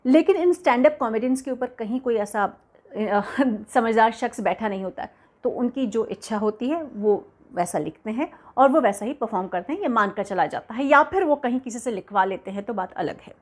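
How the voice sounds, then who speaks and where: Earnest woman host, measured and hesitant. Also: on the high side, animated. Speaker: entertainment news host. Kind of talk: scripted news commentary to camera. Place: home room, indoors.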